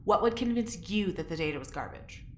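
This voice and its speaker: An actress playing an anxious, sharp-tongued young woman in a sci-fi audio drama, speaking bluntly and informally. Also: on the low side, lively intonation, fairly quick, close to an everyday voice. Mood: scheming, frustrated. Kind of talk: audio drama acting, naturalistic dialogue. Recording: roomy echo, faint background noise.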